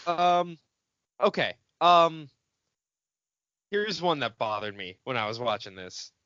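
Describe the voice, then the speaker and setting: A man's voice: fairly high pitch, slow pace, clear, resonant tone, no room sound, dull audio. A man co-hosting a fan podcast, bantering casually and picking at details with some swearing. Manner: nitpicky, sweary, animated. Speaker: podcast co-host.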